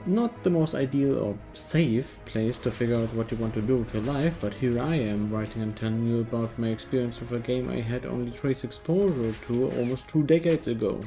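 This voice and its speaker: A man's voice: medium pitch, brisk, hardly any pauses, warm tone, faint music behind. A man narrating a scripted video game review in a wry, tongue-in-cheek way.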